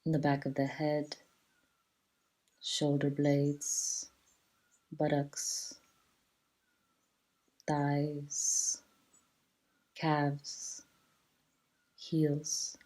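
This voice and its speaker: Very low, slow, dark-voiced woman guiding relaxation. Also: completely flat delivery, rough recording. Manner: soothing, measured, with long pauses between short phrases. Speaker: yoga nidra teacher. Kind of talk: guided relaxation meditation.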